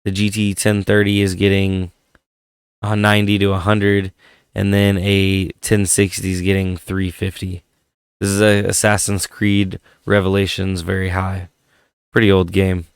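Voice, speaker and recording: A man's voice: deep voice, completely flat delivery, unhurried, clean tone, dry recording, studio quality. A man hosting a casual hardware vlog, rambling and thinking aloud, his voice worn from a head cold. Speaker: tech channel host.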